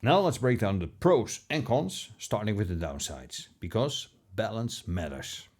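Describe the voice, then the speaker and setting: A man narrating a scripted product review as a video host, with a measured, polished presenter delivery. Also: on the low side, animated, darkish tone.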